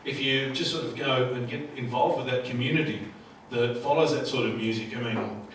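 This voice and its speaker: A man's voice: medium pitch, steady tone, a little fast, fairly bright tone. Distant, echoey man on a panel. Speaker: music industry panellist. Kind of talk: conversational panel advice. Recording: echoey room, distant mic, faint noise.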